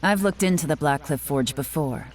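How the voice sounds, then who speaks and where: A woman voicing a composed, analytical investigator in a video game, speaking in a measured, deliberate way. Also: low voice, animated, noisy background.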